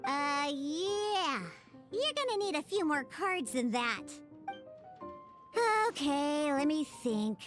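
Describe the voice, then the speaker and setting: A perky young cat-girl bartender for a video game. The voice is tiny and extremely high, swinging animatedly as she explains and exclaims. Music plays clearly behind it. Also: lively intonation, slow, fairly bright tone, heavily acted. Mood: thoughtful, helpful. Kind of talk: video game tutorial dialogue.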